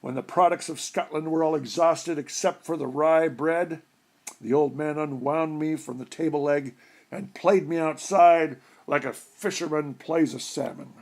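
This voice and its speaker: A man reading a humorous short story aloud in an expressive storytelling style. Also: on the high side, unhurried. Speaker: story reader.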